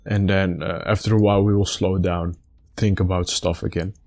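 Low voiced gamer guy, bit raspy and stuffed up, just chatting thru his game as he thinks. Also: level tone, moderate pace, a little mellow. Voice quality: slightly raspy. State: stuffy nose after being sick. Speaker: gaming video host. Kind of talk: casual gameplay commentary.

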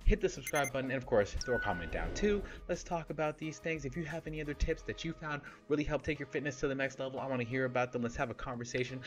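A man's voice: medium pitch, fast, hardly any pauses, over music. A man in his thirties hosting a fitness channel, giving blunt, playful advice straight to camera on a close microphone in a small home studio. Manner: animated, blunt and playful, with casual swearing. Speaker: fitness YouTuber host. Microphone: close podcast microphone, seen.

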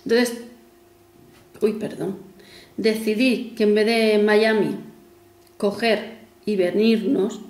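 A woman's voice: mid-range voice, steady tone, takes it slowly, pauses often, a little mellow. A woman, chatting casually to camera in a rambling way with self-mocking asides. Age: 40s. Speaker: vlogger. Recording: echoey room with faint music.

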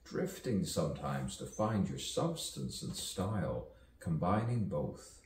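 Man, dark mellow voice, swooping intonation, theatrical spoken-word poet, musing, long pauses, roomy distant recording. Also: low voice, big pitch swings. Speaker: poet performing own monologue. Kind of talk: theatrical poetic soliloquy with wordplay and rhyme. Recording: roomy, distant mic, faint background noise.

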